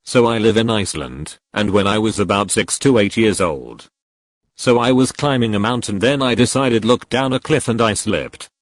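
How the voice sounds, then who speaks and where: A man narrating forum stories, reading each post straight through in one steady voice. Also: lowish voice, moderate pace, dry recording, poor audio. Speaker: narrator reading online posts.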